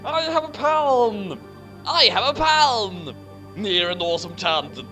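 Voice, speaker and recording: Male co-reader, very animated, slow comic theatrics over clear music. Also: high voice. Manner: animated, hammy when reading aloud. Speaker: co-host of a fan fiction reading. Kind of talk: dramatic comic reading with casual asides. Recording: music clearly playing behind the voice.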